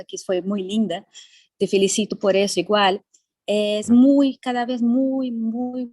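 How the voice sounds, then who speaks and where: A woman's voice: mid-range voice, darkish tone, dry recording. A woman from Brazil with a Brazilian Portuguese accent, speaking as a panelist and often repeating words while she searches for them. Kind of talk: panel discussion.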